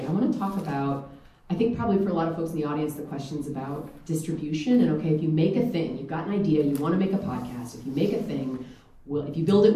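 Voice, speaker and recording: A woman's voice: deep voice, warm tone. A woman moderating a live panel discussion in front of an audience, talking freely with many fillers. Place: event room with an audience. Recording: echoey room, distant mic, faint noise.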